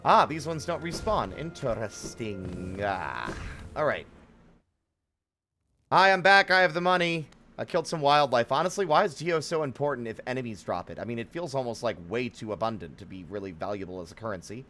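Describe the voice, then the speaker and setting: A man's voice: medium pitch, lively intonation, brisk, sharp tone, faint music behind. A man streaming a game live, commenting casually in a rambling, self-questioning way with some swearing.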